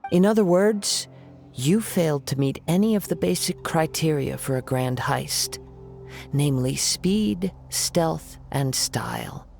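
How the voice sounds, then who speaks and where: An actor playing a calm, perceptive young wandering samurai who speaks in a measured, formal way. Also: male voice, fairly high pitch, gravelly. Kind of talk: video game voice acting. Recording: soft game music behind.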